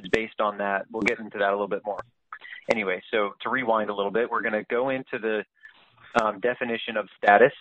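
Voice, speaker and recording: A man leading a group conference call, explaining things informally in a halting way, often searching for words. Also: fairly low pitch, a bit bright, dry recording, poor audio. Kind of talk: informal explanatory presentation, partly read aloud.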